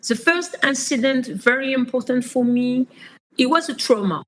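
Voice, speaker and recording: A woman in her fifties, telling her life story in an interview in slow, halting speech with many pauses and fillers. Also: high voice, expressive, low-quality recording. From France. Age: 50s. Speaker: interview guest.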